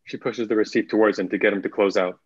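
Muffled low-voiced young man narrating. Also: steady tone, quick pace, husky. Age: young adult. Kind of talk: reading screenplay stage directions. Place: indoor room, video call. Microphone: video call, computer mic. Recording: muffled video call audio.

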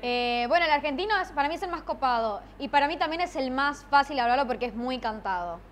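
A young woman, answering interview questions in a giggly, animated way on a lapel mic. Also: high-pitched, quick pace, slight background noise. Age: young adult. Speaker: interview guest. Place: dark studio set, seated.

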